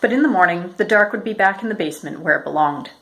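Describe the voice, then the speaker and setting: Lower-pitched woman reading a picture book. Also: animated, fast talker, background noise. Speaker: storyteller, educator. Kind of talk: read-aloud children's picture book narration.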